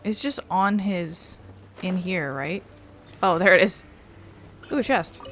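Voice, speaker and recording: A woman's voice: lowish voice, animated, takes it slowly, fairly bright tone, soft background music. A woman giving casual gameplay commentary as a game streamer.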